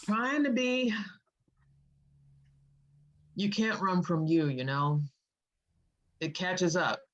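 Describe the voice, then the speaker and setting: A woman's voice: on the low side, very slow, lo-fi sound. A woman playing a witty, teasing poet visiting an old lover in a script read, with an American accent and slangy, playful delivery. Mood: teasing, reflective. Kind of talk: informal screenplay table read.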